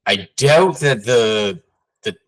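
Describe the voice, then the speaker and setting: A man co-hosting a casual sports podcast, talking loosely with many fillers and getting animated when amused. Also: mid-range voice, slow. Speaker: podcast co-host.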